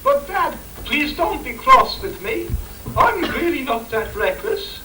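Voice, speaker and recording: Man playing slow, high-voiced, dreamy pantomime boy. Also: very animated, heavy background noise, exaggerated voice. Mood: plaintive, pleading. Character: shy, dreamy, pleading young boy obsessed with bubbles. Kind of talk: amateur rhyming Christmas pantomime. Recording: echoey amateur stage recording with loud music.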